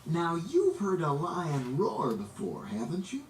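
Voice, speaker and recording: A man reading a children's story aloud in an expressive, dramatic way, drawing out words for emphasis. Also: on the high side. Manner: animated, dramatic, drawn-out emphasis. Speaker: storybook reader. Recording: roomy, distant, noisy amateur recording.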